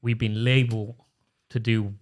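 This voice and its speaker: A man hosting a podcast, asking halting questions in casual chat with many fillers and repeats. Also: fairly low pitch, flat delivery, darkish tone. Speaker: podcast host.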